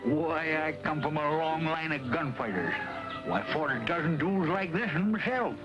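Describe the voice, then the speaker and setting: Male actor as folksy old gunfighter sidekick, blustering, nervous, raised pitch, dull old soundtrack. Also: animated, moderate pace, natural voice. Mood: boastful bluster. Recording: muffled vintage soundtrack with music.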